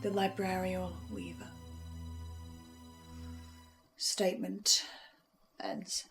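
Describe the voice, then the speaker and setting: A woman performing a formal, composed and menacing reader of a sinister letter in a horror audio drama, measured and deliberate. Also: on the low side, very animated, fairly bright tone, faint music behind, voice pushed down, heavily acted. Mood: quietly menacing. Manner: measured, deliberate, ominously polite.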